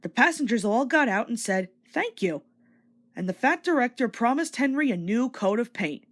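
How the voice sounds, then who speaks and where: Woman, children's storyteller, scripted read-along, expressive, highly varied pitch. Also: fairly quick.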